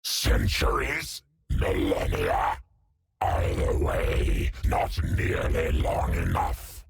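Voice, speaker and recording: A male actor playing a grim, savage antihero in a video game, with a growling, very raspy voice and an ominous, snarling manner. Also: very deep voice, huge pitch swings, drawn-out words, a little hiss or hum, heavily acted. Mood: bitter. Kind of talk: video game character acting.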